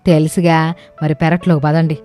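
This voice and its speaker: Woman playing a low, mellow village mother-in-law. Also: flat delivery, moderate pace, close to an everyday voice. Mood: teasing. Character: affectionate older village mother-in-law, wistful and plain-spoken. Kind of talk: acted dialogue in animated comedy story. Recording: music under the voice.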